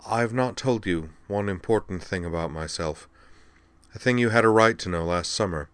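A man's voice: low voice, normal speed, a bit bright, dry recording. A man voicing an anxious, self-doubting Earthman in a science fiction audiobook, earnest, hesitant and subdued. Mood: anxious, ashamed.

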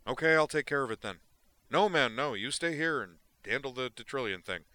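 A brash, agitated man barks and curses through every line, loud and sharp-edged, as a male reader puts him on. The voice sits far higher than the reader's own deep tone. Pure restless storybook mania. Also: animated, a little fast, no room sound. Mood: shouting. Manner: shouting, cursing, agitated. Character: brash frantic man, gonzo doctor. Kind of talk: audiobook character voice.

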